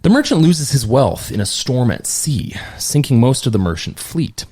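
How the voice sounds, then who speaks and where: A man's voice: medium pitch, big pitch swings, normal speed, mellow, husky. A man hosting a casual podcast, retelling an old fairy tale with joking asides. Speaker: podcast host, storyteller. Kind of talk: casual storytelling chat.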